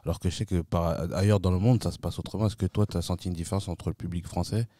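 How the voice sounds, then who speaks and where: A man's voice: deep voice, fairly even intonation, fast talker, dark tone, no echo. A man co-hosting a casual talk show, chatty and thoughtful in how he asks things. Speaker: co-host. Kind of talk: casual chat.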